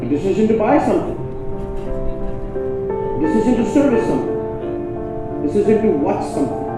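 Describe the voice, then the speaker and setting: A man with a booming voice giving a conference keynote, telling a story with dramatic pauses and emphatic repetition. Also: sing-song. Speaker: conference speaker. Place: conference hall. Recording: echoey hall from far off, dull sound, music behind.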